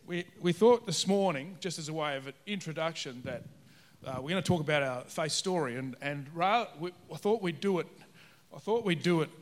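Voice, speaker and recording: A man with a fairly high voice leads an interview segment during a church service. He rambles and restarts his sentences as he introduces his guests.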